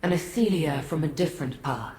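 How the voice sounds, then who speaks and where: An actress playing a solemn, weary female deity in a fantasy game, speaking in a grave, formal, measured manner. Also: low voice, a little mellow, lightly acted. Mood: regal, measured. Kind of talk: scripted fantasy game dialogue. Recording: echoey reverb, faint noise.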